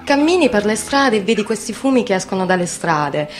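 A woman's voice: mid-range voice, big pitch swings, fairly quick, soft background music, close to an everyday voice. A woman voicing a frank, combative young steelworker, giving testimony in a colloquial, insistent way. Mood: matter-of-fact. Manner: plain-spoken, colloquial, insistent. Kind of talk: conversational testimony monologue in radio theatre.

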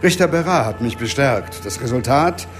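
A man acting an embittered, unyielding investigator in a radio crime drama, speaking deliberately and with emphasis. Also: medium pitch, expressive, soft background music, close to an everyday voice. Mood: bitter, confiding. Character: embittered, dogged investigator, persecuted but unyielding.

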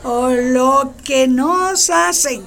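A woman's voice: high voice, extremely slow, vocal fry, fairly bright tone, exaggerated voice. A woman playing a cheerful, frank schoolteacher who encourages her class in a radio drama. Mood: encouraging. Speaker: teacher.

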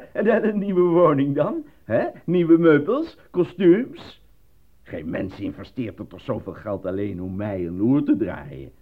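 A muffled, lo-fi radio play recording carries an eager and naive fellow with a husky voice. He stammers, gets flustered easily and leaps about in pitch with excitement. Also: slow pace, full character voice. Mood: boastful, excited. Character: eager, naive, easily flustered male actor cast as minister. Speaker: actor.

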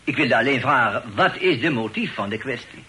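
Hoffelijke huisheer met Franse zwier. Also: male voice, medium pitch, expressive, brisk, sharp tone. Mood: calm, mediating. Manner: inverted word order, French loanwords, formal politeness. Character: courteous foreign landlord speaking affected, French-laced Dutch. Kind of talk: radio play acting. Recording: noisy old radio drama recording.

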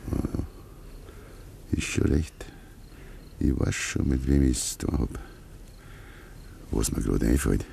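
Very gravelly old Bavarian farmer. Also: male voice, extremely low pitch, slow, long pauses, slight background noise, full character voice. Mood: sly, low. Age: older. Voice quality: very gravelly, breathy. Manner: hushed, conspiratorial. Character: brooding old Bavarian farmer, a father hunting his son's killer. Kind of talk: 1950s dialect radio play acting.

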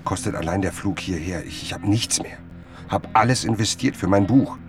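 A man's voice: deep voice, moderate pace, close to an everyday voice. An actor playing an obsessed, self-deluding male writer in a literary radio drama, with music behind him. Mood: pressed, defensive. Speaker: lead actor and first-person narrator.

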